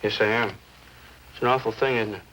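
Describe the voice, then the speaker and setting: A bright, forceful, quick-talking neighbor, acted by a man in a 1950s crime drama, on the low side in pitch. He is a gossipy, opinionated witness, earnest and emphatic, over a faintly noisy old soundtrack. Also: fairly even intonation, close to an everyday voice. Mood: eager, opinionated. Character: talkative, opinionated male neighbor, eager witness.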